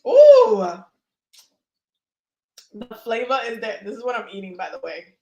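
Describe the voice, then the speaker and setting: A woman's voice: fairly high pitch, huge pitch swings, a little slow, vocal fry, warm tone, echoey room, muffled recording. A woman hosting a casual tarot live stream who chats and laughs while she eats. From Dominican. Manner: chatty and laughing, talks while eating.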